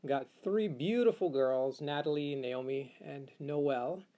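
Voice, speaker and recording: A man's voice: medium pitch, a little slow, muffled recording. A middle-aged man from Uganda talking reflectively and casually as an interview guest, repeating phrases for emphasis. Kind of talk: casual personal conversation.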